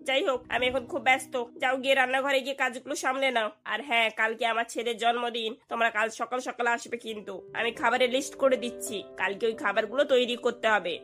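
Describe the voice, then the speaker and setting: A woman's voice: fairly high pitch, steady tone, fast, few pauses, fairly bright tone, faint music behind, lightly acted. A woman playing a haughty, short-tempered rich mistress of the house in a cartoon, commanding and scolding. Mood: bossy brisk.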